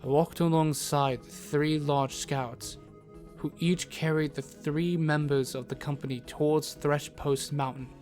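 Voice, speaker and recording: A man with a slightly high voice narrates a fantasy tale at a slow pace. His wording is formal and story-like, and music plays softly beneath him. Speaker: narrator, in character as a travelling doctor. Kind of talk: scripted first-person fantasy audio drama narration. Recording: music bed under narration.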